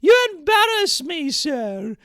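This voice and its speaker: Man putting on a high-pitched coy lizard maid. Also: big pitch swings, slow pace, a bit bright, no echo, studio quality, exaggerated voice. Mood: flustered. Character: coy demure female reptilian Argonian maid, servile and flustered.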